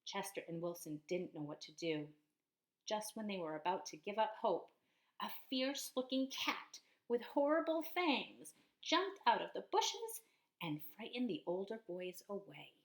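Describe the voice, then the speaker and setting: Lady teacher reading a kids story out loud, expressive w/ careful pauses. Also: medium pitch, rough recording. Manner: expressive, deliberate pauses, child-directed. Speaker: teacher reading a picture book aloud to children. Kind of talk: storybook read-aloud, speaking to children.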